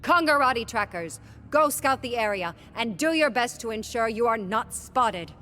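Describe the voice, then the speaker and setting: A woman's voice: on the high side, animated, moderate pace, bright, forceful tone. A woman playing a dutiful, grave military officer in a fantasy game, speaking formally as in a briefing. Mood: dutiful, firm. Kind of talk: dramatic fantasy game cutscene acting.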